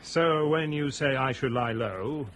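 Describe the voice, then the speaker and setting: A man's voice: mid-range voice, measured pace, fairly bright tone, slight background noise, lightly acted, rough recording. An actor playing a proud male airline captain under suspicion in a radio drama, terse and sardonic. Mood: indignant, sardonic.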